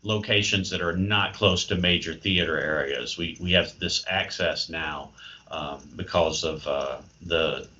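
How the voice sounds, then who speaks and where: A man with a low but bright-edged voice speaks as an interview guest. He is thoughtful and wry, picking his words hesitantly. The audio is slightly dull and muffled. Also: a little slow. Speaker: playwright and creative writing professor, interview guest. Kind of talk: conversational interview answers.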